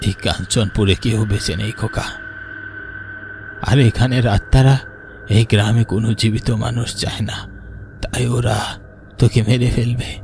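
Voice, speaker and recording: A man voicing a dead grandfather's ghost in a cartoon horror story, giving grave, protective warnings in an ominous, hushed way. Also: lowish voice, steady tone, normal speed, vocal fry, long pauses, music in the background. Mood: grim warning.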